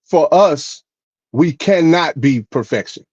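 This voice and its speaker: A man co-hosting a podcast explains his views steadily and carefully, pausing often to ask "right?". His voice comes through a little muffled and dry, at a middling pitch. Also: lively intonation, a little mellow, breathy edge, no room sound. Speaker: podcast co-host. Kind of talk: casual podcast conversation.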